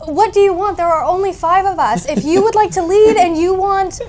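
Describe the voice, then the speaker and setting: A woman's voice: very high voice, normal speed, darkish tone. A woman playing a tabletop role-playing game, debating the others in a pointed, argumentative way. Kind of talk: in-character group discussion. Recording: background music under the voice.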